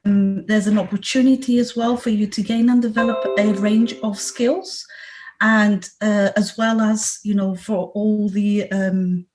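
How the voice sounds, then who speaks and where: A woman presenting her company on a video call, speaking haltingly with many fillers. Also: medium pitch, darkish tone. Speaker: company representative. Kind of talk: webinar presentation.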